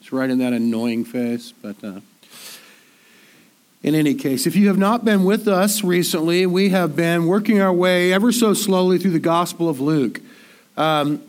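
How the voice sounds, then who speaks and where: A man preaching a sermon in a relaxed, conversational way while congested and a little nasal from a cold, often repeating words as he thinks aloud. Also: high voice. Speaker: pastor.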